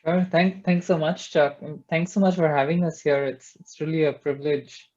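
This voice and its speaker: A young man from India talks through his path as a founder, earnest and reflective, with a few restarts. His voice sits somewhat high and stays flat in tone. The sound is dull and lo-fi, like an online call. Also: moderate pace. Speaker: startup co-founder guest. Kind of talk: panel webinar talk.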